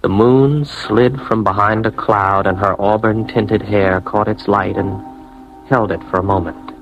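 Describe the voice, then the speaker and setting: A man's voice: fairly low pitch, fairly even intonation, unhurried, lo-fi sound, over music. An actor playing an earnest young advertising man in a radio drama, with an American accent, sometimes narrating his own story. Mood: brooding, dramatic. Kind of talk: old-time radio drama acting, first-person narration.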